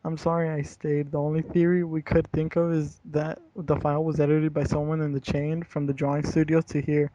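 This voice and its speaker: A man's voice: on the high side, dark tone. A young man reading a horror story aloud and chatting casually, with slangy, profane language. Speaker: story reader. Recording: dull lo-fi home recording, roomy.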